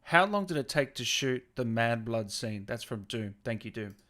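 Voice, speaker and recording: A man's voice: mid-range voice, animated, normal speed, sharp tone. A man hosting a casual interview chat and putting questions to his guest. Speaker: interview host.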